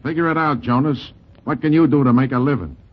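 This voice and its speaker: An actor playing a hard-nosed, domineering boxing manager, curt and slangy, in a 1940s gangster radio drama. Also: male voice, mid-range voice, quick pace, lightly acted. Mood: hard, pressuring. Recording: muffled old radio recording.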